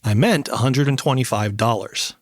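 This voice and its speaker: An actor playing a polite, eager husband in an old-time radio drama, mild and earnest in manner. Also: male voice, medium pitch, moderate pace, dry recording, studio quality, lightly acted. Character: polite, eager husband hiring a boat pilot.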